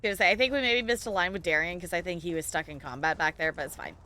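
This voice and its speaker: A woman's voice: fairly low pitch, racing, sharp tone. A woman chatting casually on a gaming livestream, playful and self-deprecating, with theatrical exclamations. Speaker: live streamer.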